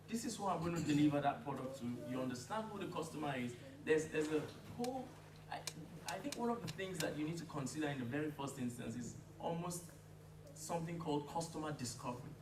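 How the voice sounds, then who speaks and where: A man with a slightly raised pitch gives calm, explanatory advice at a community panel. He is picked up from a distance in an echoey hall, with steady noise behind him. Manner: measured, explanatory, some restarts. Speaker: panelist, founder. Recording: echoey room from far off, noisy.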